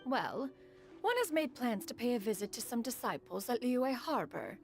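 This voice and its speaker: A woman's voice: on the high side, very animated, normal speed. A woman voicing an ancient female crane spirit in human form who speaks in a formal, archaic way, over game music. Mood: stately. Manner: formal archaic speech, refers to herself as "one". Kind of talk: video game cutscene voice acting.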